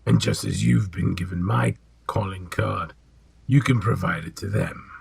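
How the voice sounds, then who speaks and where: An actor playing an ancient, exacting and sinister old potion seller in an audio drama, gravelly and husky, speaking formally and deliberately. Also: male voice, low voice, dry recording, exaggerated voice. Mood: cool, cryptic.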